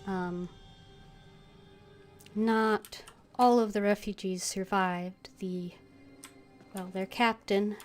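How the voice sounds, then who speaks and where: Woman game streamer, slow halting talk, flat tone, faint music behind. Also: mid-range voice. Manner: halting, thinking aloud. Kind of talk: casual gameplay commentary.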